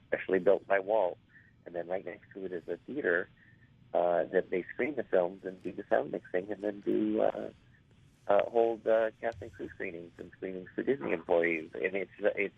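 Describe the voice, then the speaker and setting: Raspy low-voiced man on a phone line. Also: no echo. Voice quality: raspy, hoarse voice. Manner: rambling, many fillers and restarts. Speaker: actor guest. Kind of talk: casual interview storytelling.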